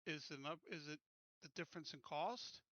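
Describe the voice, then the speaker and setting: A man's voice: fairly high pitch, normal speed, sharp tone, no room sound. A man playing a tabletop game, chatting casually with direct, probing questions over a muffled voice-chat connection.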